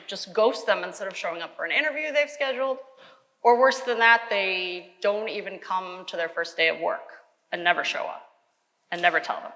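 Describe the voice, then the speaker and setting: A woman of medium pitch moderates a panel. She speaks out to a live audience, her voice reverberating through a conference hall. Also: a bit bright. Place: indoor conference hall, on stage.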